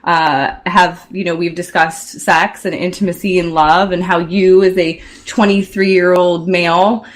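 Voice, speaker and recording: A woman's voice: lowish voice, fairly even intonation, unhurried. A woman in her forties hosting a casual, unscripted talk show discussion. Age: 40s.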